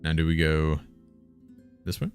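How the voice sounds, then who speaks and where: A man live streaming a text game, musing out loud in short casual phrases and sometimes reading the game text. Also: very deep voice, level tone, unhurried, faint music behind. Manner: musing, muttered short phrases, thinking aloud.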